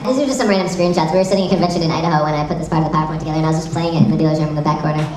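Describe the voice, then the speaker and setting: A woman presents at a panel in a chatty, enthusiastic way, racing along in a slightly low voice. She jokes at her own expense, and she sounds far from the mic in an echoey room with a crowd. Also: very fast, darkish tone. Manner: enthusiastic, self-deprecating, jokey geek talk. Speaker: convention panel presenter and site creator. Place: convention panel room with audience. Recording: echoey room, distant mic, audience noise. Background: audience crowd.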